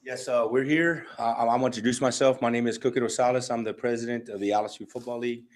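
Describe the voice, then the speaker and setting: A man's voice: medium pitch, fairly even intonation, fast, a little hiss or hum. A man speaking as a league president, addressing officials from a podium in public comment. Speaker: youth football league president. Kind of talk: public comment at a government meeting. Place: county courtroom, standing at a podium.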